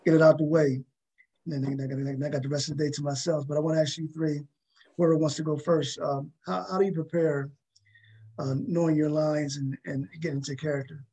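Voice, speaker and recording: A man hosts a conversational talk show. His voice is soft-edged and mellow, sitting a little higher than most men's. He rambles toward his questions, doubling back on words. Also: flat delivery, fairly quick, breathy edge, low-quality recording. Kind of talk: conversational interviewing.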